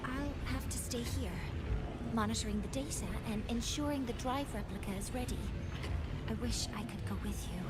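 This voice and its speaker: A woman's voice: on the high side, heavy background noise, close to an everyday voice. A young woman playing an earnest professor in scripted game dialogue, speaking formally and precisely, devoted to her mentor. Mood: soft, tender. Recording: music behind the voice.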